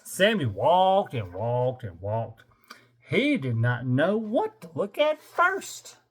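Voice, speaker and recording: An older man reads a picture book to children with a lively, swooping delivery. He takes his time and stretches words theatrically, then drops into dry, joking asides. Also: mid-range voice, slow pace, breathy edge. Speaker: grandfather reading a picture book aloud. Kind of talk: animated children's read-aloud with joking asides.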